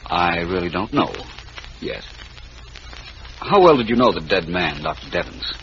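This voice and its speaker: Suave private detective: lowish, crisp-edged bright voice, dry wit, vintage radio sound. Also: male voice, very animated, a little slow, heavily acted. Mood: smooth, probing. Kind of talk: 1940s radio mystery acting. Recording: muffled, hissy old radio recording.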